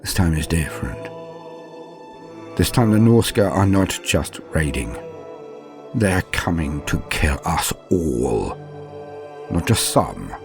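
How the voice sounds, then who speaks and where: A man performs a dutiful medieval fantasy knight, afraid yet steadfast, in a deep, low voice. He tells his tale as solemn audio drama, with grave, archaic phrasing and dramatic pauses, over faint music. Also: very animated, creaky, exaggerated voice. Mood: grim dread. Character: frightened but dutiful male knight recounting doomed battle. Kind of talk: dramatic first-person audio drama narration.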